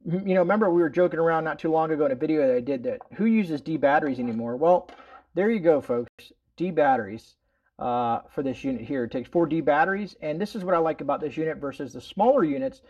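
Higher-voiced middle-aged man, casual rambling reviewer. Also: darkish tone, dull audio. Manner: informal, rambling explanation full of verbal tags. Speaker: host of a prepping product review channel. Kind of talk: casual product demonstration. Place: indoors in a room at home.

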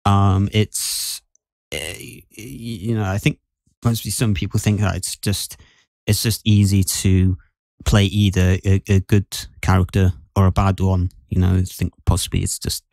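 A man in a casual podcast discussion, speaking in a hesitant, halting way as he talks about his acting. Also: low-pitched, level tone, creaky, a little mellow, no room sound. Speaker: podcast guest actor.

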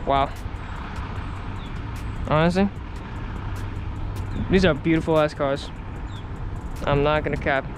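A man's voice: a little high, steady tone, takes it slowly, long pauses, music in the background. A young man vlogging casually, laid-back and swearing freely while he looks over cars. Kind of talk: casual vlog. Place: outdoors at a car lot and in a truck cab. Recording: outdoor traffic and wind noise.

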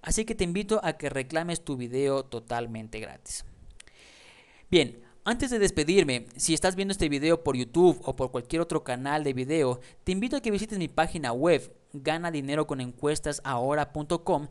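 A man's voice: a little high, lively intonation, normal speed, smooth. A man narrating a slideshow tutorial on starting an online business, speaking straight to the viewer as a friend, with a voice-over recorded on a close mic.

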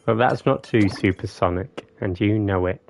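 A man's voice: moderate pace, vocal fry, slight background noise. A man, casually chatting with his live-stream viewers while gaming, playful and jokey. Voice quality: deep voice. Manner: playful, jokey, bursts into silly singing.

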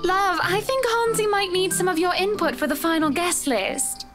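A woman voicing an elegant, composed married lady in a visual novel game, speaking in a gentle, polished, affectionately teasing way. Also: very high voice, normal speed, over music. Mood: coaxing. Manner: gentle, polished, terms of endearment. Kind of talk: scripted visual novel voice acting.

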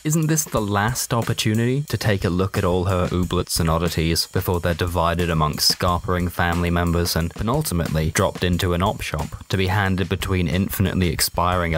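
A man narrating a first-person comic short story in a dry, colloquial, sardonic way. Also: low voice. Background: music.

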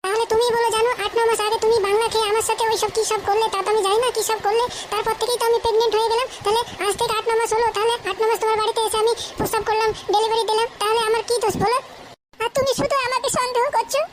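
Woman playing a very high-pitched scheming cartoon wife. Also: flat delivery, racing, heavy background noise. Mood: tearful accusing. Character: scheming young wife, tearful and wheedling, secretly cunning. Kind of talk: comic cartoon dialogue.

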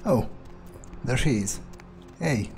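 A man with a mellow, relaxed voice at middle pitch narrates a game in character. He thinks aloud, aloof and often swearing, close to the mic over faint music. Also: takes it slowly. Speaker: gamer roleplaying his character. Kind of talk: in-character video game commentary, musing aloud.

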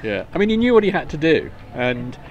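An older man, the parent of an athlete, chatting warmly in an outdoor interview at a marina. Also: on the high side, big pitch swings, fairly quick, vocal fry, dull audio, slight background noise. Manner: warm, chatty, laughs between answers. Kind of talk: casual interview.

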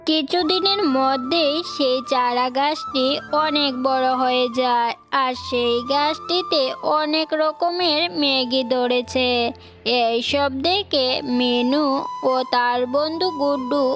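High-pitched, slow woman storyteller. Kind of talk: scripted children's cartoon narration. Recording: loud music under voice.

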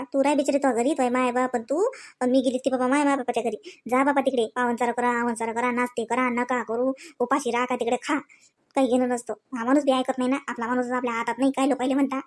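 A woman acts out a young village wife from a comedy cartoon, with a very high voice and a Varhadi Marathi accent. She rattles on quickly, aggrieved and exasperated, piling one complaint on another. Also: level tone, a little mellow, close to an everyday voice. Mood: muttering, resentful.

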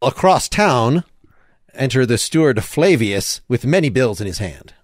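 A man's voice: medium pitch, big pitch swings, unhurried, no room sound, studio quality. A man hosting an audio play reading, giving casual recaps and reading out stage directions. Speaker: podcast host and stage-direction reader.

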